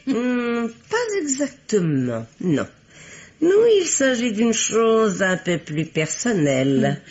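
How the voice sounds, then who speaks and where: An actress playing a bossy, witty, prying older spinster in a theatrical old radio mystery. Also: female voice, mid-range voice, very animated, slow, a little mellow. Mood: coaxing. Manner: grand, theatrical, teasing. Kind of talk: old French radio play acting. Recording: dull, lo-fi old radio recording.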